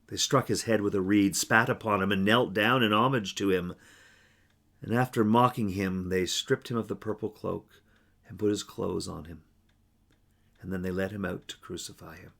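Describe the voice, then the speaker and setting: A man reading a scripture passage aloud in a measured way. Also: fairly low pitch, steady tone, vocal fry. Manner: measured reading, rising loudly for quoted mockery. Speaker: scripture reader.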